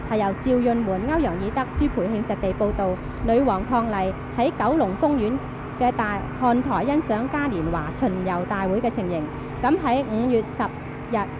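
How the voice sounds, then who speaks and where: A woman with a Hong Kong accent reading a formal, measured radio programme announcement. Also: on the high side. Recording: noisy archival radio broadcast.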